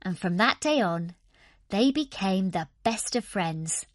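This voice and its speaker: A woman narrating a scripted children's story in an expressive, deliberate storytelling manner. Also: mid-range voice, dry recording, studio quality.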